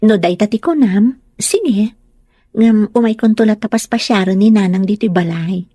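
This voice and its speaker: A caring, gently nagging young fiancée in a radio drama, played by a woman. Her voice is soft-toned and mellow, with a dry, close sound. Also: medium pitch, moderate pace, husky, no echo, lightly acted. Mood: tender. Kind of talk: Ilocano radio-style drama dialogue.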